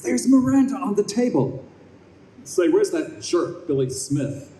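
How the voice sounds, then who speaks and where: A man's voice: sits high, very animated, a little slow, dark tone. An actor playing an anxious, indignant father awaiting rebirth, in an earnest, exclaiming old-time radio style. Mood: anxious chatter. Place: live performance in a convention room. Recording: roomy, distant live stage recording, faint noise.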